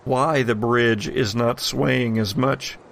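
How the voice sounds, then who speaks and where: Slow-paced, gravelly man running a tabletop game. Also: medium pitch, fairly even intonation, a bit bright, slight background noise. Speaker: game master. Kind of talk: narrating and refereeing a tabletop roleplaying game.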